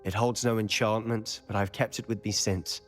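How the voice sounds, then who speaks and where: A man's voice: fairly low pitch, steady tone, normal speed, lightly acted. A young man acting a determined, sincere teenage wizard in a game cutscene, speaking earnestly. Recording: music under the voice.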